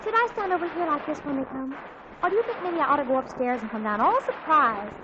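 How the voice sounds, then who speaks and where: An actress playing an excitable teenage girl fussing over her own party in a 1940s radio comedy, talking in breathless, gushing chatter. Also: high-pitched, quick pace. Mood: excited, gushing. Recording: muffled old radio broadcast with hiss.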